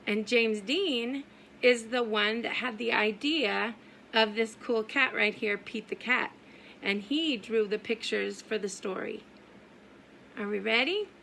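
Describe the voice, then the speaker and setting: A woman reads aloud at a children's story time in a high, bright voice. She goes slowly, with sing-song exaggeration and questions to her young listeners. There is faint noise behind her. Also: gravelly, poor audio. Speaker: preschool teacher reading aloud.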